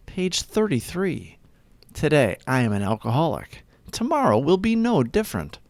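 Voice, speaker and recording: A man reading daily recovery reflections aloud in an earnest, deliberate, scripted devotional style. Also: mid-range voice, no echo.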